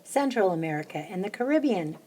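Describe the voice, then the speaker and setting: A woman reading a children's picture book aloud, lifting her voice high and bright for the little chants. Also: lively intonation, a little fast. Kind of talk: storybook read-aloud.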